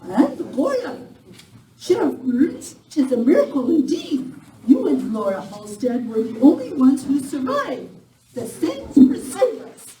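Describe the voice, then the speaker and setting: A theatrical, folksy townswoman played by a woman in a live radio play. The voice is high and swings around freely in pitch. It comes from off in a roomy space with a lilt. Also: wildly swooping pitch, takes it slowly, dark tone, full character voice. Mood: exclaiming, insistent. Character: folksy Irish townswoman Miss Leary, full of sayings. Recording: roomy, distant live hall recording, faint noise.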